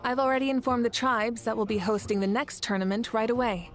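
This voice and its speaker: A woman's voice: a little high, steady tone, fairly quick, close to an everyday voice. A woman as a confident national leader. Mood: assured. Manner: warm, assured, commanding. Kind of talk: game voice acting. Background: game music.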